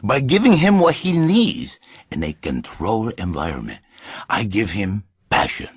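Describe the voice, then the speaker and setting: An actor playing a flamboyant, pompous Latin lothario with a Spanish accent and husky voice, theatrical and grandiose in broken English on satirical talk radio. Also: male voice, on the low side, sing-song, moderate pace, no room sound, studio quality, exaggerated voice. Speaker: radio talk show guest.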